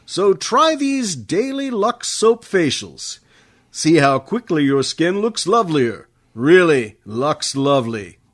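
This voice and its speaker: A man delivers a vintage radio soap-ad style pitch as announcer. His voice is fairly high and animated, at a very slow, deliberate pace. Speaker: commercial announcer. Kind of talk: 1940s radio commercial pitch.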